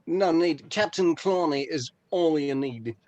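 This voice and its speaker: A man's voice: on the high side, measured pace, a little mellow, no echo, close to an everyday voice. A man playing a brash, theatrical, exclamatory character, an old pirate type, in a comedy script read-through. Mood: grandiose.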